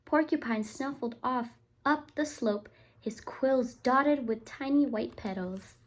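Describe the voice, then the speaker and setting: High-voiced woman reading storytime to children. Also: slow pace. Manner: expressive, addresses children directly. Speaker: teacher reading a picture book to young children. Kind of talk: read-aloud storytime with asides to children.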